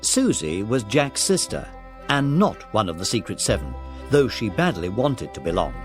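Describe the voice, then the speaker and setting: A man's voice: lowish voice, very animated, normal speed, faint music behind. A man reading scripted narration for a children's audiobook story.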